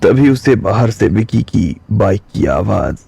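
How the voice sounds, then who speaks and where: Low, gravelly male storyteller. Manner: slow, steady storytelling. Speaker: narrator. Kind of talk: scripted storytelling narration.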